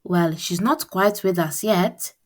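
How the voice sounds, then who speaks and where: A woman voicing a gentle, patient mother in a storybook, soft and reassuring. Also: lowish voice, takes it slowly. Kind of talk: storybook character voice.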